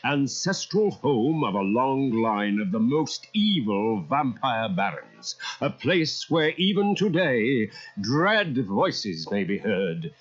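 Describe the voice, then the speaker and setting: A man narrating a children's story in a dramatic, theatrical and expressive style. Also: mid-range voice, slow, hardly any pauses, slightly rough. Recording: muffled cassette transfer.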